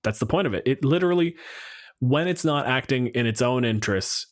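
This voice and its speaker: A man with a mid-range voice talks as a podcast host in casual discussion. He reasons out loud in an argumentative way, joking and swearing freely as he works through his points. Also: medium pitch, animated, fairly quick. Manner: sweary, argumentative, thinks aloud.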